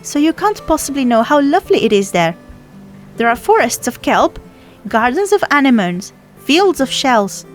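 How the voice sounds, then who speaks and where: A little girl from the sea, acted by a woman in a storybook reading. She is high-pitched and lively, chattering proudly and affectionately with childlike certainty, while music plays behind her. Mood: eager, proud. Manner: chatty, childlike, matter-of-fact boasting. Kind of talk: storybook character voice.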